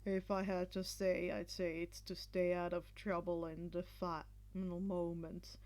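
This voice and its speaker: A woman voicing a dry, unimpressed male commentator with a flat, skeptical delivery in an anime fan story. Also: fairly low pitch, measured pace, pitched below the performer's own voice, lightly acted. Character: deadpan, skeptical man commentating on a sports event.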